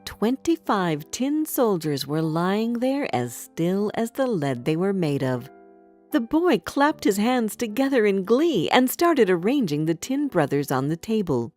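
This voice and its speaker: A woman's voice: mid-range voice, big pitch swings, a little slow, a little mellow. A woman narrating a scripted fairy tale for children as a storyteller. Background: background music.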